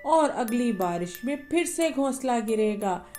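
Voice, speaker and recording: A woman doing a lazy, lower-voiced parrot. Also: expressive, measured pace, mellow, soft background music. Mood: grumbling. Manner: whiny, grumbling. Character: lazy grumbling male parrot.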